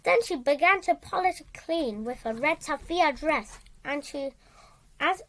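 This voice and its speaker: A woman reads a children's storybook aloud in a high voice with lively, swinging intonation. She takes her time and moves haltingly through the sentences. She often repeats or corrects a word. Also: rough recording. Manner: halting, repeats and corrects words while reading. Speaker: storybook reader.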